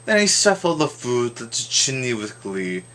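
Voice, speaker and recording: A man giving a theatrical, expressive dramatic reading of a rhyming children's story, drawing out words for emphasis. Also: mid-range voice, moderate pace.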